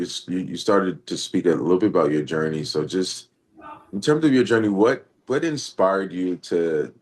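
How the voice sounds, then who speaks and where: A man hosting an interview, asking halting questions with many restarts. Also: deep voice, darkish tone, breathy edge. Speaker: podcast host.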